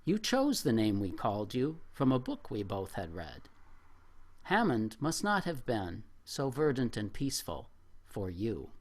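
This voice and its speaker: A middle-aged or older man speaking at a poetry open mic in a reflective, expressive way. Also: mid-range voice. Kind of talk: spoken poetry reading with informal remarks to an audience.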